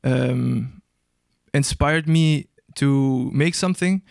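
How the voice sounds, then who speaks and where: Smooth-voiced young man, hesitant and searching. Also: medium pitch, slow, no room sound, clean studio sound. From Albania. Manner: hesitant, searching for words, many restarts. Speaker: guest musician. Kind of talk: casual interview answers.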